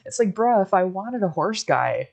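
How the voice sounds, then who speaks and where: A young woman talks casually, as if to a friend on a livestream. She tells stories in an animated, conversational way, slipping into quotes of what people said. Her pitch sits in the middle for a woman or a little below. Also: normal speed, gravelly. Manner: animated storytelling, quoting conversations.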